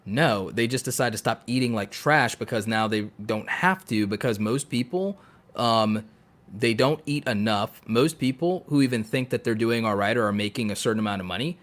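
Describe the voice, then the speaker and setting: A man's voice: medium pitch, moderate pace, a bit bright. A man co-hosting a casual podcast, talking emphatically and with strong opinions, repeating phrases to drive points home. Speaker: podcast co-host.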